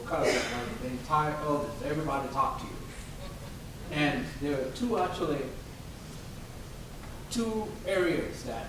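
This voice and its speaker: A man from Tanzania, a professor, thoughtfully sharing a personal story and rephrasing as he goes. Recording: echoey room, distant mic, rumble.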